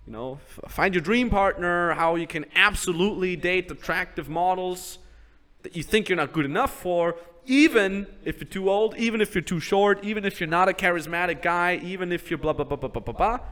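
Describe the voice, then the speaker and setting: Man coaching, voice pitched a bit high. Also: fairly quick, a bit bright. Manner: explains step by step, often acting out quoted sales pitches and ending with "right?". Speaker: business coach host. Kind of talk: casual advice conversation.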